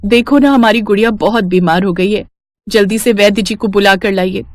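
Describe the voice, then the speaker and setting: A woman's voice: medium pitch, normal speed, no room sound, studio quality, natural voice. A woman voicing a poor, anxious young wife and mother in a Hindi moral-story cartoon, concerned and pleading. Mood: distressed, pleading.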